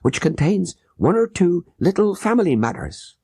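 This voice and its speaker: A man's voice: sits high, big pitch swings, normal speed, dark tone, breathy edge, no echo, clean studio sound, pitched above the performer's own voice, heavily acted. A man voicing a frightened, plump little merchant, pleading and panting, in an audiobook. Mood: nervous, evasive.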